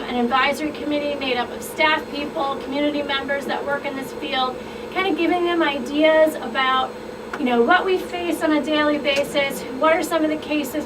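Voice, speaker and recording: High-voiced woman on radio talk. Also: recorded from a distance. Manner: enthusiastic, explanatory. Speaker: guest expert. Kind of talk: radio talk show chat. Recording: radio studio, off-mic, noisy.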